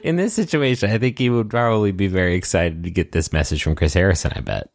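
Deep gravelly man with a lively voice. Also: brisk, noisy background. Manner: sweary, jokey, breaks into falsetto singing. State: gravelly voice after conventions. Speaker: podcast co-host. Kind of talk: casual recap chat.

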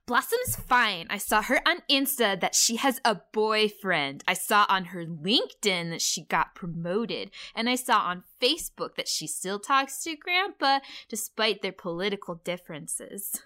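A woman's voice: medium pitch, very animated, a little slow, few pauses, pushed and bright. A woman playing a gossipy, snide young woman, a grown-up cartoon heroine, in a script reading.

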